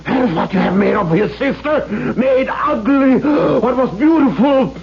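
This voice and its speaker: A proud, cruel old father with a high, creaky voice. He speaks in halting, emphatic bursts, in the manner of 1970s radio drama. The sound is a dull old broadcast. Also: crowd noise. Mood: harsh, outraged. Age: older. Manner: halting, emphatic, repeating words.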